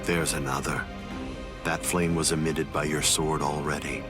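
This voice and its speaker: An actor playing a calm, menacing villain swordsman in an anime video game, speaking in a measured, formal, condescending way. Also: a bass voice. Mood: cold, lecturing. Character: calm, menacing male villain swordsman, coldly superior schemer. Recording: loud music behind the voice. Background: music.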